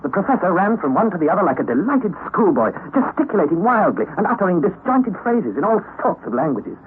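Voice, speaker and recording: A man's voice: high voice, sing-song, fast. An actor playing an anxious young male nephew who narrates an old radio drama in earnest first person. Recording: tinny lo-fi old radio recording with faint hiss.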